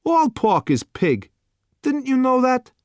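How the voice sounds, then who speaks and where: A man voicing a gruff, impatient male restaurant waiter who answers bluntly and loudly. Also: very high-pitched, wildly swooping pitch, takes it slowly, dry recording, studio quality, voice pushed up, full character voice. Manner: blunt, curt, loud.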